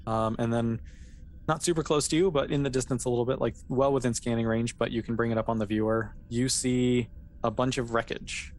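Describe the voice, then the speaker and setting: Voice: medium pitch, fairly even intonation, a little fast, darkish tone. Easygoing game master over background noise. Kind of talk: improvised tabletop sci-fi game narration.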